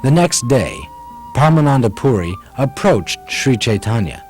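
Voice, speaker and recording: A man narrating a puppet-show story from a script in a measured, solemn way. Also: mid-range voice, big pitch swings, darkish tone. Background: music.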